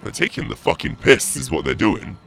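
A man's voice: very animated, a little fast, a bit bright. A man streaming a horror game, swearing and mocking in theatrical live commentary. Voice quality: deep, gravelly, through a voice changer at times. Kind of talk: live gameplay commentary, trash talk.

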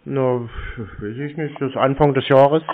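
A man's voice: mid-range voice, steady tone, moderate pace. Terse man on a dull phone line. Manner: terse, laconic, playing dumb. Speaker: YouTuber taking scam calls. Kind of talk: casual phone chat, scambaiting prank calls. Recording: dull, muffled phone call audio.

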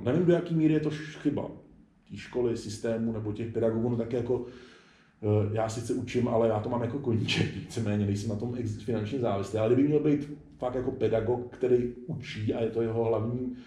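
A man's voice: medium pitch, warm tone. A man in his forties talking casually and reflectively in an interview, restarting his sentences and stressing his points. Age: 40s. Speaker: interviewed illustrator and studio founder. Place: indoor spray-paint shop, at a table. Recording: roomy sound with faint background noise.

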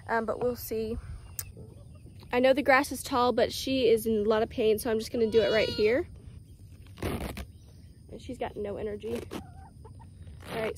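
Young southern girl vlogging outside by the pens, kinda high voice, lots of ums, faint farm noise behind. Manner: chatty, lots of "um", soft and soothing with the animals. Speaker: vlogger caring for farm animals. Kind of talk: casual how-to vlog. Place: outdoors on a farm by animal pens. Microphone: phone camera held close, selfie framing.

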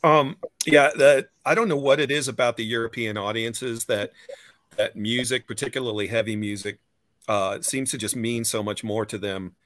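A man's voice: medium pitch, steady tone, moderate pace, a bit bright, no room sound, clean studio sound. A man in his fifties hosting a casual interview over a video call, thinking aloud in long, rambling sentences. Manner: thoughtful, rambling, many fillers and restarts. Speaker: host, musician. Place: home room, indoors. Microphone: webcam on a video call.

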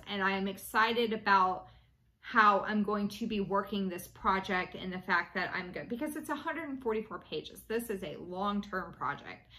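Late-30s woman, chatty at-home vlogger, bright and forward voice, lots of ums and restarts. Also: mid-range voice. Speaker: cross-stitch vlogger. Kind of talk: casual chat to camera. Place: small room indoors at home.

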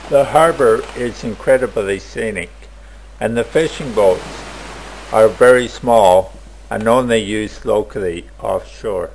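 A man narrating a travel video in a plain, measured voice-over. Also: fairly low pitch, a little mellow, a little hiss or hum, low-quality recording. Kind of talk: slow scripted travel voice-over.

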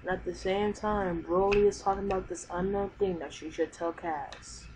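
A woman's voice: mid-range voice, fairly even intonation, normal speed. A woman narrating a roleplay episode recap with rhetorical questions. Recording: noisy home recording.

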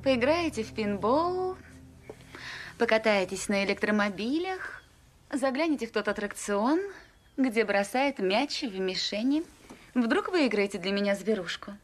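A woman's voice: fairly high pitch, lively intonation, a little slow. An actress playing a playful, coaxing woman in a dubbed film drama.

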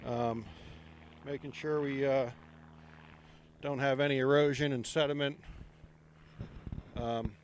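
A middle-aged man giving a relaxed walking tour of an outdoor construction site, speaking into a handheld microphone with many ums. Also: mid-range voice, slow, long pauses, a little hiss or hum.

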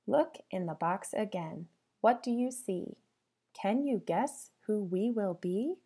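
Animated woman reading to young children, unhurried. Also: medium pitch, breathy edge. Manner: sing-song, addressing children with questions and pauses. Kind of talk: interactive storybook read-aloud for young children.

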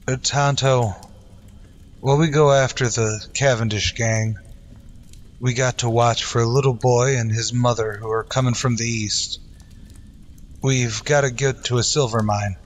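A man's voice: mid-range voice, steady tone, a little slow, close to an everyday voice. A man playing an earnest, resolute lawman hero in an old-time western radio drama, speaking in solemn declarations. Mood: weary, determined.

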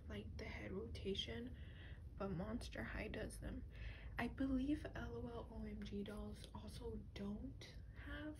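Soft, breathy woman whispering ASMR, noisy recording. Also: a little high, a little slow. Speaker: ASMR doll reviewer. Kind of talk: soft-spoken ASMR, rambling show and tell. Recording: clearly noisy lo-fi home recording.

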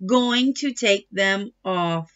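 A woman voicing an excitable, stubborn young girl in a storybook, exclaiming with drawn-out, emphatic words. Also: mid-range voice, clear, resonant tone, lo-fi sound, voice pushed down. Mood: gleeful, excited.

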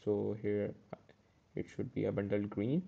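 A man's voice: on the low side, level tone, a little slow, vocal fry, phone-quality sound. A man teaching a step-by-step coding tutorial over a screen recording, correcting himself as he goes. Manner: step-by-step, self-correcting with "uh" and "okay". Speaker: programming tutor.

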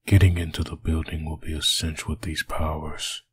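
A man voicing a brooding, vengeful undead antihero in a husky growl, delivering a grim inner monologue for a comic dub. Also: a bass voice, level tone, normal speed, fairly bright tone, heavily acted.

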